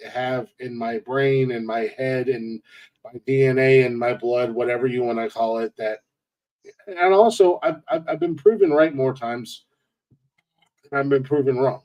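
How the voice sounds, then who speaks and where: Male podcast host, 40s, mid-pitched, rambling, self-amused. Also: unhurried, muffled recording. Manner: rambling, restarts often, laughs at own jokes. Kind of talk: casual podcast chat.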